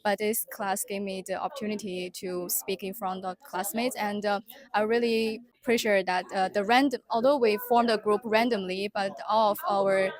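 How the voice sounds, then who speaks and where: A young woman from Inner Mongolia, speaking haltingly with many hesitations. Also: medium pitch, steady tone. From Inner Mongolia, China.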